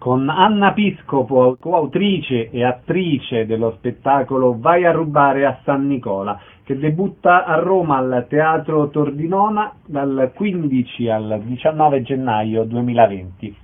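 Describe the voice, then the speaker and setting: A man's voice: mid-range voice, lively intonation, faint music behind. Radio host man, phone-thin sound. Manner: brisk, formal announcer phrasing. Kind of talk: radio interview. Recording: tinny phone-like sound with faint hiss.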